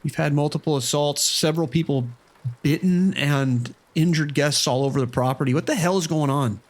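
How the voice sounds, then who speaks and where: An actor playing a worried, protective casino manager, speaking urgently in a horror audio drama phone call. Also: male voice, a little high, moderate pace, close to an everyday voice. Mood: urgent, alarmed. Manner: urgent, concerned.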